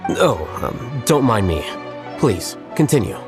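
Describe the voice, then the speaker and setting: A man voicing a composed, reserved male scholar who speaks calmly and bluntly. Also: mid-range voice, slow. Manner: blunt, calm. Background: game music.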